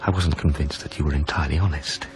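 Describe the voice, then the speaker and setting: An actor playing a grieving, wistful lover, narrating a radio drama in a hushed, measured, brooding manner. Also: male voice, very deep voice, fairly even intonation. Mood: regretful. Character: grieving, wistful former lover recounting a haunted past.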